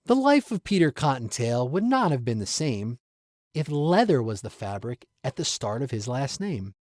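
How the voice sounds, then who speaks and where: A man reading his own rhyming poem aloud in a measured, playful recitation. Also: mid-range voice, sing-song, darkish tone, no echo. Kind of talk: rhymed poetry recitation.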